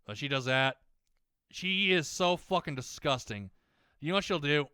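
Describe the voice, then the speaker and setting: A young man ranting while playing a game, rambling, swearing a lot and often restarting his sentences, his voice slightly hoarse as it warms up. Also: on the high side, expressive, moderate pace, no echo, studio quality. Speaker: gaming YouTuber.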